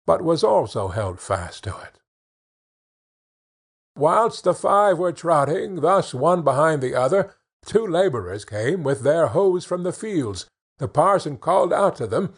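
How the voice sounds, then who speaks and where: A man narrating a children's fairy tale in an expressive storytelling manner, with measured phrasing. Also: a little high, creaky, breathy edge. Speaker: audiobook narrator.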